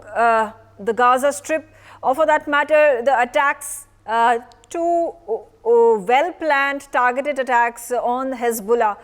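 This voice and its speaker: A woman anchors live television news in an Indian accent. Her voice sits fairly high, and she builds her questions in halting, drawn-out phrases. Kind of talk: live news broadcast interviewing.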